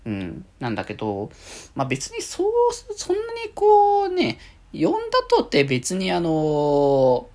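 A man's voice: fairly high pitch, wildly swooping pitch, a little slow, darkish tone. A man hosting a casual solo radio talk, chatting in a rambling, conversational way.

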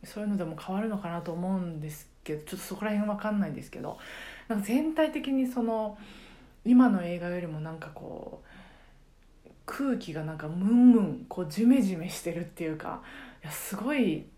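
A middle-aged woman chatting casually on a solo podcast, reflective and halting as she searches for words. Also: medium pitch, lively intonation, mellow. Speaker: podcast host and karaoke teacher.

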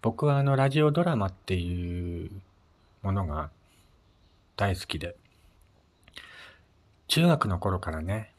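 Male solo host, low register, polite and conversational, casual radio chat. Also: lively intonation, slow pace, long pauses. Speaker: host of a personal audio show.

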